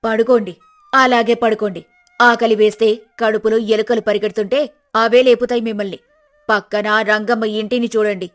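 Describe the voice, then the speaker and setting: A woman's voice: on the high side, level tone, moderate pace, soft background music, natural voice. A woman voicing a shrewish poor village wife, scolding and complaining, in a folk tale. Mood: scolding, sarcastic.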